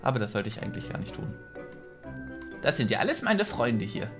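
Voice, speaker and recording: A young man talks through his game in a casual, chatty way. His mid-pitched voice moves around expressively as he thinks aloud. He is on a headset mic, with game music playing behind him. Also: very animated, brisk. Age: young adult. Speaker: gaming YouTuber, host. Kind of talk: casual let's play commentary. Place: small decorated gaming room, indoors.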